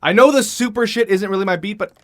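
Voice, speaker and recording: A man's voice: high voice, quick pace, fairly bright tone. A man playing a brash, pushy reporter. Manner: brash, insistent, swears. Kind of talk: audio drama acting.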